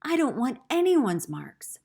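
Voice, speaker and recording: A woman's voice: high voice, very animated, takes it slowly, vocal fry, a little mellow, husky, pitched above the performer's own voice, full character voice. A woman voicing a small, timid wooden puppet man who doubts himself, in a children's storybook reading. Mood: wistful, questioning.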